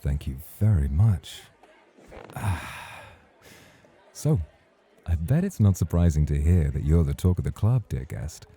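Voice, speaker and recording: A man playing a suave, teasing champion club host in an ASMR roleplay, with a husky voice and courtly manner. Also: rumbling low, sing-song, unhurried, long pauses, dark tone, exaggerated voice. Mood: flirtatious.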